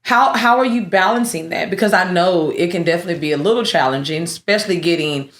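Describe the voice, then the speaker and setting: A woman radio host who teases her guest playfully and jumps in with quick reactions. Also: on the low side, roomy sound. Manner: teasing, animated, quick to laugh and jump in. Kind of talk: playful interview hosting.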